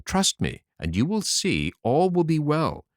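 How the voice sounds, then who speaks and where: Man as reassuring young peasant son, lively intonation. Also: a little high, unhurried, dry recording, studio quality, voice pushed up. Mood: calm reassurance. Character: confident young peasant son soothing his mother.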